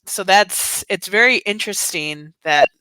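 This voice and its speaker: A woman's voice: medium pitch, measured pace, bright, forceful tone, smooth, dry recording, poor audio. A woman hosting a podcast in casual chat, chatty, with lots of fillers and hedges. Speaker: podcast host.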